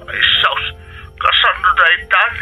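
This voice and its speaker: A man's voice: sits high, takes it slowly, pushed and bright, faint music behind, full character voice, low-quality recording. An actor playing an anxious, plaintive middle-aged Filipino father with an Ilocano accent in a radio drama. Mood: worried, pleading. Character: anxious, aging Filipino father pleading with his daughter.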